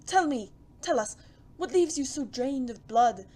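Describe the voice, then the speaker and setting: A woman playing a doting, pompous gentleman suitor in a period audio drama, with gallant, flowery and fussy delivery. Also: sits high, animated, a little slow. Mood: fretful, doting. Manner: flowery, gallant, fussy, stammers when flustered.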